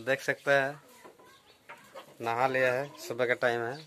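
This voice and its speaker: A man's voice: mid-range voice, measured pace, slight background noise. A young man chatting casually to viewers in a vlog, filmed on a phone held at arm's length outdoors and in his car. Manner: flat, plain narration addressed to viewers. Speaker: vlogger, hire-car driver. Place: outdoors in a village, then inside a car.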